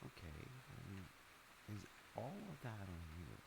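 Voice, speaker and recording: Very deep, gravelly, gentle dad played by a man. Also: slow pace, full character voice. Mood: tender, concerned. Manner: soft-spoken, hesitant, reassuring. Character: gentle, attentive father comforting his grown kid. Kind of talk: wholesome comfort audio roleplay, one-sided conversation. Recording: steady loud rain noise over voice. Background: rain sounds.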